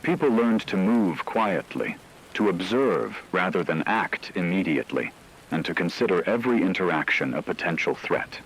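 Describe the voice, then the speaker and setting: A man narrating a scripted apocalyptic story in a solemn, measured way. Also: low-pitched, expressive, fairly bright tone, slight background noise, rough recording.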